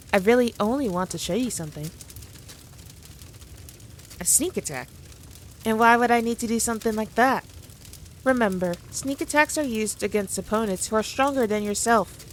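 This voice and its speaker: Woman voicing a demon queen, ASMR roleplay drama, medium pitch, haughty, teasing, dominant, condescending, faint background noise. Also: natural voice. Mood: coaxing, then taunting. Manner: taunting, haughty, playful, deliberate. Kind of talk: ASMR roleplay audio drama addressed to listener.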